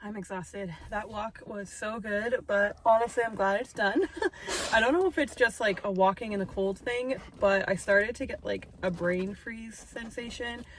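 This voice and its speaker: A woman's voice: normal speed, a bit bright, slight background noise, low-quality recording. Chatty young woman with a stuffed-up nose. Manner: chatty, self-deprecating, talks in a high sweet voice to her dog. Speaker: vlogger. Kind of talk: casual vlog chat. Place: parked car, then walking outdoors on a ravine trail.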